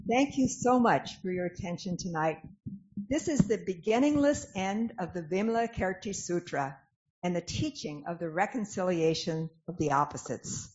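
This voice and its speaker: A woman's voice: fairly low pitch, animated, normal speed, a little mellow. A woman narrator giving formal scripted narration and announcements for a dramatic reading. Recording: dull lo-fi sound, clear background noise.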